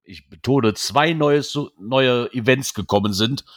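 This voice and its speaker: A man from Germany talks his way through a casual podcast chat with friends. He rambles, trips over his words and corrects himself, then perks up when he jokes. His voice sits at an ordinary middle pitch. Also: measured pace. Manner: rambling, self-correcting, animated when joking. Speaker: podcast host.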